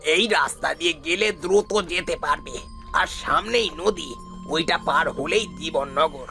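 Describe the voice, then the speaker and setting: A man voicing an old male cart driver who is secretly a ghost, speaking slowly and ominously in a cartoon. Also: fairly high pitch, pushed and bright, soft background music. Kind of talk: cartoon voice acting.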